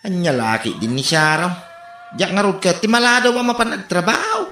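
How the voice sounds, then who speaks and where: An actor with an Ilocano accent playing a hurried, grumbling office worker in a radio comedy drama. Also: medium pitch, very animated, pushed and bright, a little hiss or hum. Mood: hurried, anxious. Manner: grumbling, exclamatory. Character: exasperated, hurried office worker pestered by neighbours. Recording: echoey sound with music bed.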